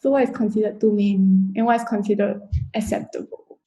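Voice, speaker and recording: Measured, mellow Singaporean woman. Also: medium pitch, fairly even intonation, echoey room, rough recording. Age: 20s. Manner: measured, reasoned, a little formal. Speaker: panel guest. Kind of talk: casual panel discussion.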